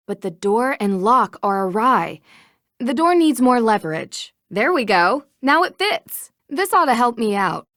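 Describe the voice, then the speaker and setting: Plucky young female sleuth, game dialogue, lively intonation, slightly high voice, close dry recording. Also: no room sound. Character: curious, plucky young woman amateur detective. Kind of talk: video game voice acting, scripted dialogue and narration.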